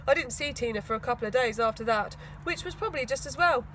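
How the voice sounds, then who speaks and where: A woman reading a children's story aloud in an expressive, emphatic storytelling manner. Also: fairly high pitch, fast talker, slight background noise, low-quality recording. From England. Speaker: school teaching assistant reading aloud to children. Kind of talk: storybook read-aloud narration.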